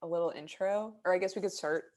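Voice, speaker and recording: Low-voiced young woman, hesitant. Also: a little mellow. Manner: hesitant, halting, many fillers. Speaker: podcast co-host. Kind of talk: casual chat. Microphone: video call.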